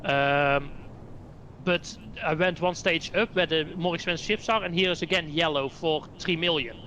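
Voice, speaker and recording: A man with a Dutch accent talks through a game in a loose, hesitant way, hunting for words. His voice sits a bit high for a man. A faint hum runs under the recording. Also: moderate pace, bright, forceful tone, highs cut off. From Netherlands. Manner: hesitant, thinking aloud with fillers. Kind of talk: casual gaming chat.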